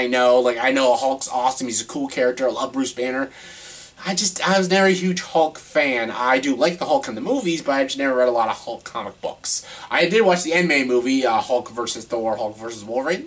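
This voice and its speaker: Congested man rambling excitedly. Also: mid-range voice, slightly rough. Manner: excitable, rambling, repeats himself. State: congested with a cold. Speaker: vlogger ranking films. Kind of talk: casual top-ten video monologue.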